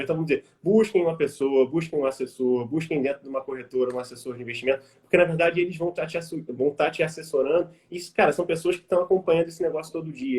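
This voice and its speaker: A young Brazilian man in his twenties, an investment advisor explaining things casually on a live stream, informal and quick with many fillers. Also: a little high, a little mellow. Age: 20s. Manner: quick, informal, addresses audience as "gente", many fillers like "vamos dizer". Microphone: video call.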